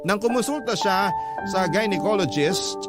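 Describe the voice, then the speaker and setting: A lovelorn boyfriend acted by a man tells his story in an earnest, reflective way. His voice sits a little high for a man, over very prominent music, as in radio drama narration. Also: animated, normal speed. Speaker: letter-sender narrating his love story. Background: loud music.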